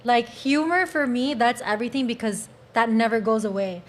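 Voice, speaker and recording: A woman's voice: a little high, moderate pace. A woman on a podcast panel, talking in casual conversation. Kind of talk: casual chat.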